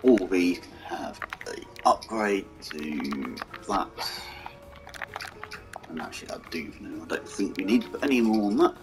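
A man with a hoarse, croaky voice, mumbling casual commentary over a strategy game and talking to its vehicles. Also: deep voice, lively intonation, unhurried, rough recording. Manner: mumbling, talks to the game's vehicles. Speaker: gaming channel host. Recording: game music behind voice.

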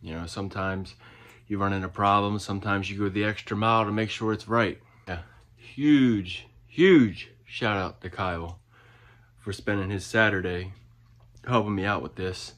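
A man's voice: on the low side, fairly even intonation, moderate pace, a bit bright. A man in his twenties talking casually and plainly to camera as he shares updates on a car build in a garage. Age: 20s. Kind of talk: casual vlog narration. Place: home garage workshop, indoors. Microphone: handheld phone at arm's length.

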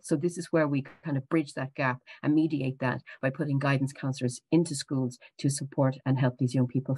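A woman with an Irish accent giving a conference presentation on education policy, fluent and earnest as she rushes to finish. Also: very deep voice, a little mellow. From Ireland.

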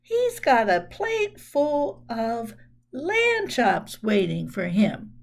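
A woman's voice: on the high side, wildly swooping pitch, drawn-out words, vocal fry, voice pushed down, exaggerated voice. A woman storyteller voicing a cheerful, literal-minded little girl in a children's story, earnest and innocent.

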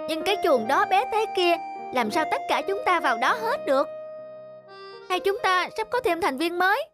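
A woman voicing envious, grumbling young sled dogs in an animated cartoon, complaining and exclaiming. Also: very high voice, moderate pace. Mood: indignant, envious. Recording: music under the dialogue.

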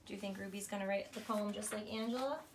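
A woman in her thirties reading a storybook aloud to a child in an expressive, teacherly way. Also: medium pitch, fast, a little hiss or hum. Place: small bedroom, on a bed. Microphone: camera a few feet away.

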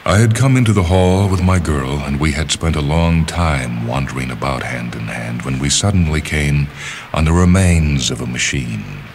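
A man narrating a literary short story from a script, with measured, deliberate phrasing and dramatic pauses. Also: very deep voice, expressive, slight background noise.

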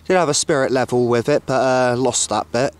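A man narrating a casual outdoor vlog in a hesitant, matter-of-fact way, often pausing as he goes. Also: mid-range voice, fairly even intonation. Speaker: radio hobbyist filming himself. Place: outdoors on an open hilltop. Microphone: handheld camcorder, operator behind camera.

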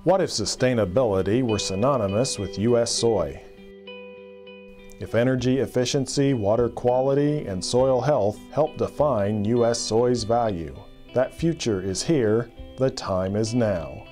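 A man reading a scripted commercial in a slow, deliberate way. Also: medium pitch, mellow, soft background music. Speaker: ad voice-over.

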